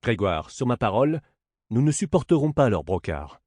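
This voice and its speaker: A man's voice: mid-range voice, very animated, moderate pace, darkish tone, dry recording, clean studio sound. A man voicing a swaggering, crude servant in a stage play who brags and blusters, spoiling for a fight. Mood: boastful, combative.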